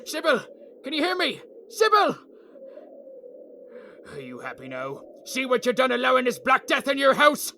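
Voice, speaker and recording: A man's voice: extremely high pitch, sing-song, moderate pace, vocal fry, long pauses, pushed and bright, a little hiss or hum, exaggerated voice. A man playing a distraught husband in an audio drama, pleading and accusing with raw emotion. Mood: alarmed, then bitter, accusing. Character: distraught husband pleading for his dying wife.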